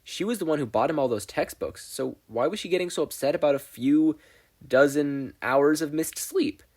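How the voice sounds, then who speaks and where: A man reading fiction aloud as a narrator, with an expressive, scripted storytelling delivery. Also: a little high, normal speed, husky. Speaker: audiobook narrator.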